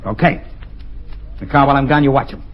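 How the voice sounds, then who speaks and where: A man's voice: medium pitch, fairly quick, natural voice. A man playing a ruthless gang boss. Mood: menacing. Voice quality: curt, commanding. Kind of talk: 1940s radio acting. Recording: old dull lo-fi radio recording.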